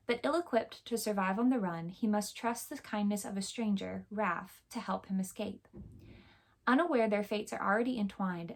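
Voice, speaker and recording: A woman with a hoarse, scratchy throat, chatting casually and enthusiastically about the books she bought, with plenty of fillers. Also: medium pitch. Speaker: book vlogger. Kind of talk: casual chat showing a book haul.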